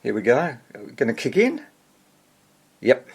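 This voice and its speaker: A man giving casual, hands-on repair commentary as he hosts a video, thinking aloud in a husky voice. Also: mid-range voice, huge pitch swings, moderate pace. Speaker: vintage radio repair hobbyist hosting video.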